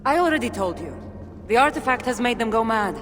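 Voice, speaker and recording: An actress playing a confident, knowing adventurer in a video game cutscene, with a Greek accent and an earnest, expressive delivery. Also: female voice, on the high side, a little fast. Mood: defensive. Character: confident, knowing adult woman adventurer hunting ancient artifacts. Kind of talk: dramatic game cutscene acting. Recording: game audio with music behind.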